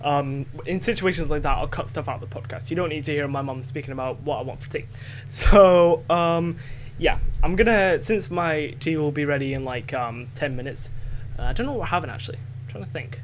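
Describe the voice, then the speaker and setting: Teen scottish guy, soft accent, rambling at home with lots of ums, bit of hiss behind. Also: a little high, fairly quick. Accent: light Scottish. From Scotland. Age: teens. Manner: hesitant, lots of fillers and restarts. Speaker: podcast host. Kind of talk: casual rambling monologue.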